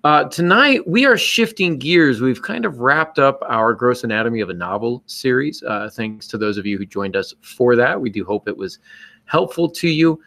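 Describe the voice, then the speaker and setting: A man's voice: mid-range voice, lively intonation, moderate pace, gravelly, pushed and bright. A man hosting a podcast, chatting casually with a bantering, joking manner. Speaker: podcast host. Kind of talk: casual live podcast chat.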